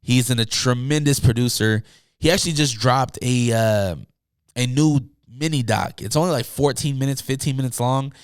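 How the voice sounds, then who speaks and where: Hoarse man chatting on a podcast. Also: mid-range voice, moderate pace, no room sound, studio quality. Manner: loose and rambling, full of fillers and asides. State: hoarse, losing his voice. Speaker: podcast host. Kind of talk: casual solo podcast chat. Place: home room.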